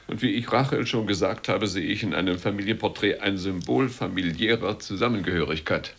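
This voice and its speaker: Radio drama: stiff, formal husband and father, dry and measured, low husky voice. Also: fairly bright tone. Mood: stiff, earnest. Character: stiff, formal, dryly disapproving head of family. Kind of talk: radio play dialogue.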